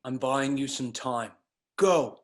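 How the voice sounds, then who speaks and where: A man playing an earnest, warm-hearted fighter in a comic fantasy table read. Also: medium pitch, takes it slowly, lightly acted. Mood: heartfelt.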